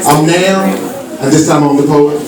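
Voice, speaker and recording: A woman's voice: low-pitched, normal speed, over a noisy crowd, heavy background noise. A woman poet reciting spoken-word verse at a public event. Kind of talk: spoken-word poetry performance. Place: meeting hall, public town-hall event. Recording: echoey hall, distant mic, audience sounds.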